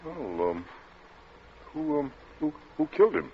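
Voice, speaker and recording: An actor playing a timid, flustered gentleman in an old radio thriller, talking in hesitant, mumbled fragments as though cornered. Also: on the high side, very animated. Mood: bewildered. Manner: halting, mumbling, stammering hesitancy. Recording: muffled, noisy vintage radio recording.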